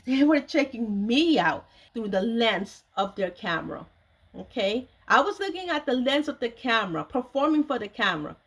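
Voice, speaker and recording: A woman's voice: a little high, very animated, moderate pace, husky. A woman in her forties tells a personal story to her viewers in a candid, confiding way, repeating phrases for stress. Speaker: host of an online video series, author telling her own story.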